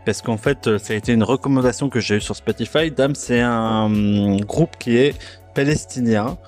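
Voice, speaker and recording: A man's voice: mid-range voice, moderate pace, faint music behind. Hesitant man hosting a casual podcast. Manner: hesitant, full of filler sounds and restarts; relaxed, self-mocking. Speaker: podcast host. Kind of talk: casual solo podcast chat about music.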